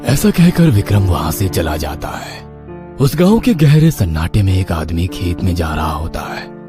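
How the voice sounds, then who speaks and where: A man's voice: low voice, very animated, moderate pace. A man narrating a scripted horror story in a dramatic, suspenseful manner. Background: background music.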